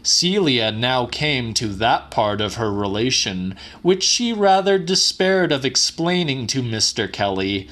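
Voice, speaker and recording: Slow, animated male audiobook narrator. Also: mid-range voice. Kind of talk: dramatic literary narration.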